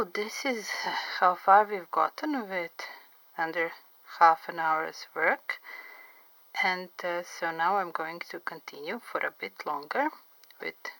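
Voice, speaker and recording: Middle-aged woman, English not her first language, slow deliberate delivery, bright gravelly tone. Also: fairly low pitch, no room sound, low-quality recording. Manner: slow, careful, clearly enunciated, pauses often. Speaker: artist and interpreter. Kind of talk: drawing tutorial vlog chat. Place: home studio by a window.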